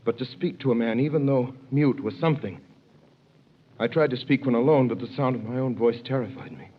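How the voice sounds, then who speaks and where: A man narrating an old radio drama in the first person, grave and measured. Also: medium pitch. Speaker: narrator playing the hero. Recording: dull old radio recording with faint hiss.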